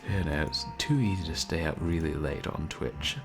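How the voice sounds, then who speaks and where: A man streaming a video game, chatting casually and soft-spoken with viewers, often chuckling. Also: a bass voice, normal speed. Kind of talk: casual let's-play chat. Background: game music.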